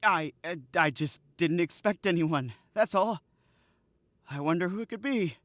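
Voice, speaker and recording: A man's voice: sits high, unhurried, fairly bright tone, no echo, clean studio sound. A man acting in an old-time radio drama as a nervous, defensive young accomplice who speaks hesitantly. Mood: panicky. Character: jittery, guilt-ridden young accomplice, weak and defensive.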